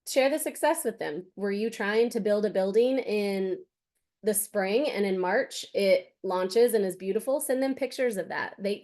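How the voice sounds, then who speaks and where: A woman's voice: medium pitch, a little mellow. A woman hosting a webinar, giving upbeat advice with ums and handing over to a guest. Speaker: webinar host. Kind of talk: webinar presentation.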